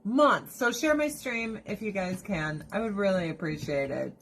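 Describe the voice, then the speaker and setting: A woman talks straight to her phone camera on a live stream, close and informal. She is blunt and swears freely, keeps the energy up, and breaks into laughter often. Her voice sits at an ordinary pitch for a woman. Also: expressive, moderate pace, fairly bright tone. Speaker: direct sales rep live streaming. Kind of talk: casual live stream chat to viewers. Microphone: phone or camera held close.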